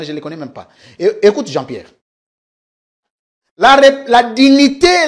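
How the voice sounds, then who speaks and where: A man debating on political talk TV, insistent and emphatic. His pitch swings widely, rising hard to drive points home and dropping low, and he sits a little high for a man. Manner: insistent, emphatic, talks over the host. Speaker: political guest.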